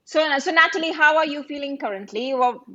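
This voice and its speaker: A woman in her forties from the United Kingdom, a business coach talking on a video call from her phone, speaking haltingly and repeating phrases. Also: high voice, sharp tone. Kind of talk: casual chat on a video call. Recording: muffled phone audio.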